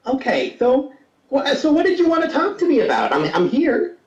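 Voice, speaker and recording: A man voicing a fawning, mock-sweet male Satan in a high, sing-song voice. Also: huge pitch swings, normal speed, roomy sound, distant mic, lo-fi sound, full character voice.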